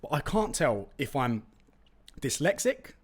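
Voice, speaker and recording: Podcast host: young male Londoner, native Turkish speaker, London accent, mid-pitched, casual slangy storytelling, close mic. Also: a little slow, breathy edge. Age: young adult. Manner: chatty, slangy, animated storytelling. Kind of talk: casual solo podcast chat. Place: room with brick walls, indoors. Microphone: close studio condenser mic with pop filter.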